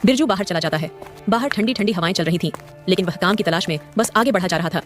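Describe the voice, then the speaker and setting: A narrator tells a folk tale at a brisk pace in a middle-pitched voice. Delivery is plain and scripted. Light music plays underneath.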